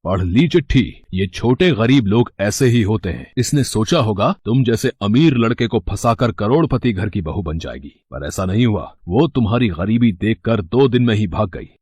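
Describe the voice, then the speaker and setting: A man with a husky voice voicing a stern, proud rich father in an animated story, speaking harshly and with scorn. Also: fairly low pitch, normal speed, hardly any pauses, no room sound. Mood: scornful.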